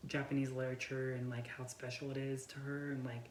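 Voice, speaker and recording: A woman's voice: a bass voice, flat delivery, fairly quick, a little mellow. A young woman chatting casually and informally about books, hesitating and leaning on filler words as she goes. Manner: informal, hesitant, heavy use of "like". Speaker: book reviewer co-hosting a book tag chat. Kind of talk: casual chat. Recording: roomy echo, some background noise.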